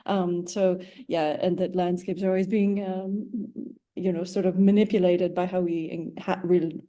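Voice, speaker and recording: A woman with a lowish, dark and relaxed voice answers as a panelist in an academic discussion. She is tentative and rambles a little, feeling her way through each thought. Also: normal speed, lo-fi sound. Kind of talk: academic discussion, speaking off the cuff.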